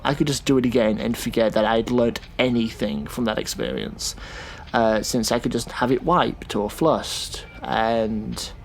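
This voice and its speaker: A man playing a thoughtful, sceptical taxi driver in a naturalistic audio drama, talking in a casual, halting way. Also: medium pitch, slight background noise, lightly acted.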